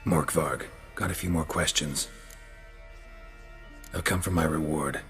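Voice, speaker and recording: An actor playing a wry, stoic monster hunter in a video game, gravelly and laconic with deadpan, clipped phrasing. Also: male voice, very deep voice, unhurried, soft background music, heavily acted. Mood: businesslike, dry. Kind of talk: video game cutscene dialogue.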